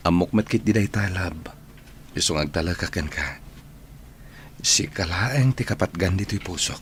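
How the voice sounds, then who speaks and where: A low-pitched, sentimental young man in love, acted by a man in an Ilocano-accented radio drama. He is earnest and soft-spoken, a devoted trainee sweetheart, with faint noise behind him. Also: moderate pace, close to an everyday voice. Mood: lovesick, sad.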